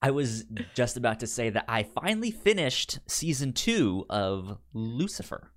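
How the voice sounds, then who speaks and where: Stammering podcast co-host, very animated pitch. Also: measured pace. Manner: stammers, repeating words and syllables. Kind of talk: casual film podcast chat.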